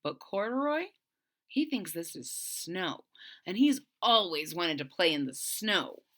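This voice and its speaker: A woman reading a storybook aloud for children and retelling it simply, in a deliberate, explanatory way. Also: on the low side, big pitch swings, a bit bright. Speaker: storybook reader.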